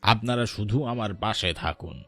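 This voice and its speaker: A cartoon village politician with a lowish pitch. He speaks formally and with measured persuasion, like someone earnestly making promises to villagers. Music plays behind him. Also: a bit bright. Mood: earnest, persuasive. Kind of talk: dramatized cartoon dialogue.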